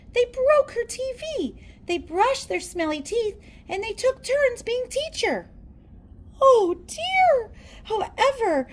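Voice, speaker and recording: A woman narrates a children's story aloud. Her voice is high and swoops widely in pitch, and she takes her time, shaping phrases theatrically. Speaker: storybook reader.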